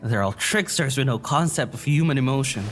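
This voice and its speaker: Audio drama lead, actor-played: anxious, earnest poet, mid-range androgynous voice, halting delivery, music underneath. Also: mid-range voice, expressive, natural voice. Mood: anxious, self-blaming. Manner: halting, stammering, poetic, earnest.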